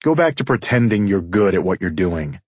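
A man's voice: deep voice, very animated, moderate pace, a little mellow, slightly rough, dry recording, muffled recording, full character voice. A man voicing an arrogant senator in an audiobook, cool, cutting and threatening. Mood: scornful. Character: arrogant, cold, commanding male senator.

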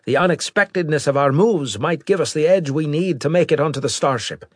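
A man voicing a brash, excitable male ace pilot in an audiobook, with cheeky, urgent military talk. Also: fairly high pitch, fairly quick, breathy edge, no room sound, studio quality, voice pushed up. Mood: brisk, confident. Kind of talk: audiobook character acting.

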